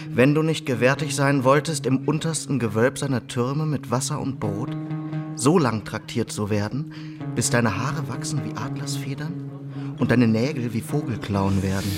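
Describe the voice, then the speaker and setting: An actor playing a rough student comrade in a radio play, with a deliberate, dramatic delivery. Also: male voice, mid-range voice, natural voice. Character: rough student comrade reading a grim letter aloud. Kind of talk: German radio play acting. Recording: plucked guitar music behind the voice. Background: guitar music.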